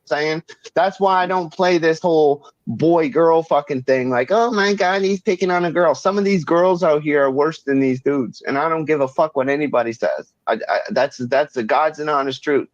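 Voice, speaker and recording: Through a muffled, dry microphone comes a man with a fairly high voice. He hosts in a casual, ranting way, blunt and full of swearing, often circling back over his own words. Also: moderate pace, no room sound. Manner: blunt, swearing, repeats himself. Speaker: host. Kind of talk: casual chat, ranting commentary. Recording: dull lo-fi mic audio.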